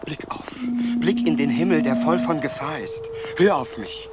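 A man's voice: fairly high pitch, lively intonation, normal speed, natural voice. An actor playing an anxious young man urgently pleading with his lover in a 1970s radio drama. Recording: loud tape hiss.

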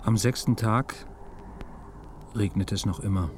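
A man's voice: lowish voice, measured pace, noisy background. A man narrating a literary radio drama from a script in a sober, matter-of-fact way. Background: music.

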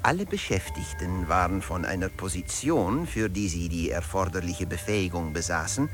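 A man's voice: sits low, lively intonation, moderate pace, over music. An actor playing a management theorist who narrates his principle in a formal, didactic radio lecture.

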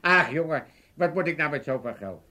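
A man's voice: fairly high pitch, expressive, moderate pace, sharp tone. An actor playing a chatty, easygoing old gambling father with an Amsterdam accent in a comic radio play, telling things in a hesitant, roundabout way. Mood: pleased. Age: older.

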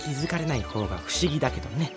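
A woman playing a cool, wry, unflappable teenage boy with a breathy, husky voice in an anime audio drama. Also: rumbling low, expressive, racing, over music. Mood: wry calm.

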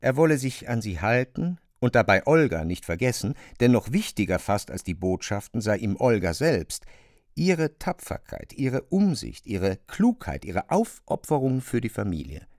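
Guy narrating a radio drama, articulate, medium pitch, very expressive intonation, dry close sound. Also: normal speed, no echo. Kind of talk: literary narration for a radio play.

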